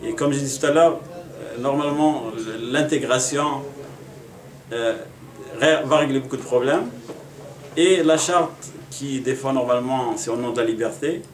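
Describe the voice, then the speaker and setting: Homme d'âge mûr d'origine marocaine, pièce résonnante. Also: male voice, mid-range voice. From Morocco. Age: middle-aged. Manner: earnest, measured, with frequent pauses and fillers. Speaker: municipal election candidate. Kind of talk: interview answers. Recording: echoey room.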